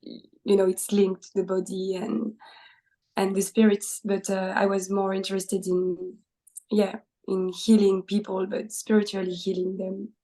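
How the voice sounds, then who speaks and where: A woman's voice: medium pitch, monotone, a little slow, warm tone, poor audio. A woman in her twenties from France with a French accent, a podcast guest speaking hesitantly with many fillers and small laughs. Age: 20s. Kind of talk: casual interview conversation.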